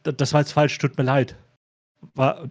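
Man chatting casually, haltingly. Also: mid-range voice, flat delivery. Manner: halting, repeats words as he searches for phrasing. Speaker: podcast co-host. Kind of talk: casual chat.

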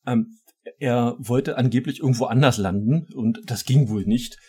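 A man's voice: mid-range voice, moderate pace, mellow, breathy edge. A man co-hosting a podcast, chatting in an informal, conversational way. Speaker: podcast co-host. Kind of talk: casual chat.

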